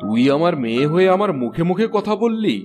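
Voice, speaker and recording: A man's voice: high-pitched, very animated, fairly quick, music in the background. A man voicing an older father in a cartoon, firm and admonishing, lecturing his grown child. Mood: stern. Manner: chiding, lecturing. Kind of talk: Bengali cartoon voice acting.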